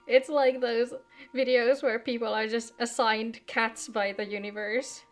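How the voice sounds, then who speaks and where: Female game streamer, higher-pitched voice, chatty and informal, music underneath. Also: flat delivery, a little slow. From Finland. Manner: chatty, greets viewers by name. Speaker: game livestreamer. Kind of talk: casual livestream chat with viewers.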